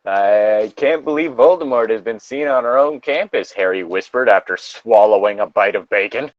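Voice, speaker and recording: A man co-hosting a podcast, reading aloud and joking casually in a wry, halting way on a slightly dull microphone. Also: lowish voice, no room sound. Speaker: podcast co-host reading fan fiction aloud.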